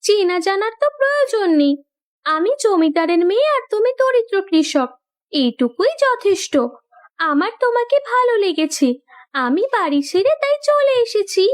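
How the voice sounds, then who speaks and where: A woman's voice: extremely high pitch, big pitch swings, normal speed. A woman voicing a determined, loving young landlord's daughter in a cartoon story, speaking in an earnest, insistent manner.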